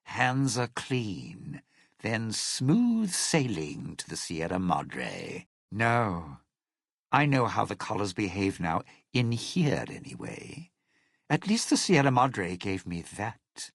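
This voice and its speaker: Casting: a bitter, sardonic video game schemer, gravelly and slow, with theatrical swings in pitch. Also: male voice, wildly swooping pitch, no room sound, clean studio sound, exaggerated voice. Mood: menacing, smug. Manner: sly, sardonic, theatrical delivery. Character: scheming, bitter, sardonic ghoul crook from a casino heist. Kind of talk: video game character dialogue.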